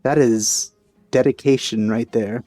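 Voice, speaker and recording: A man's voice: mid-range voice, steady tone, a little slow, mellow, no room sound. A man giving casual let's play commentary, musing in laid-back asides. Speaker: gaming video commentator. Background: faint game music.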